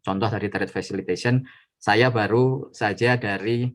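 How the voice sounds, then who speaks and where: A man with a fairly low voice answers as a guest lecturer. He explains things informally and somewhat hesitantly, softening his points with little tags. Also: fairly even intonation, normal speed. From Indonesia. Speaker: trade attaché, guest lecturer.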